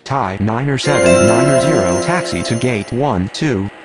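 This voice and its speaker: A man's voice: mid-range voice, animated, normal speed, loud music behind the voice, natural voice. A man voicing a formal, procedural pilot and controller in an air traffic game, speaking in clipped radio phrases. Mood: businesslike.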